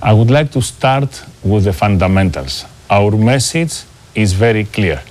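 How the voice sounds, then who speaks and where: A middle-aged man with a Greek accent gives measured, formal answers as a business executive being interviewed. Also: fairly low pitch, lively intonation, darkish tone. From Greece. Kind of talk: prepared corporate interview answers.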